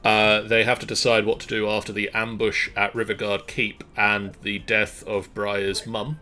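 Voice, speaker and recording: A middle-aged man hosting a livestream, giving casual announcements with halting pauses. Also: lowish voice, little pitch movement, pushed and bright. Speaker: streamer and host. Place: small room at home. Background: faint music.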